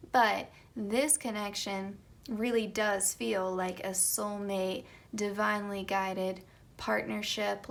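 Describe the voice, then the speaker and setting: A young woman doing a casual tarot reading. She has just woken up and her voice is slightly raspy, and she talks in a chatty way with soft whispered asides. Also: mid-range voice. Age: young adult. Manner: chatty, light laughs, soft whispered card calls. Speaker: tarot reader.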